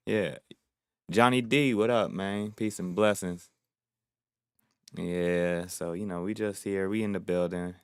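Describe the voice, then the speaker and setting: Deep, smooth-voiced man hosting a livestream. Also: fairly even intonation, slow pace, pauses often, dry recording, clean studio sound. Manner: laid-back, laughs often, reads chat aloud. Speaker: music producer hosting a livestream. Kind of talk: casual livestream chat.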